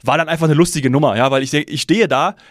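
A man from Hesse hosting a travel podcast, chatting casually and telling a lively story in which he acts out his own thoughts. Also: a little high, fast, dry recording, clean studio sound. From Hesse, Germany. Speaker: podcast host.

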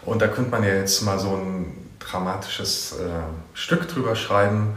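A man chatting casually as an interviewer, thinking out loud in informal language. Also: on the low side, fairly even intonation, unhurried. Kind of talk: casual chat. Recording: echoey room, faint background noise.

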